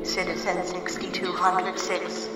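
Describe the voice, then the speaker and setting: A woman's voice: fairly low pitch, completely flat delivery, unhurried, close to an everyday voice. A woman playing an impersonal announcer system of a dystopian regime in a radio drama, clipped, detached and official. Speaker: automated announcer. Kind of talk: binaural radio drama, formal public announcements. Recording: echoey, distant, music underneath. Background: loud music.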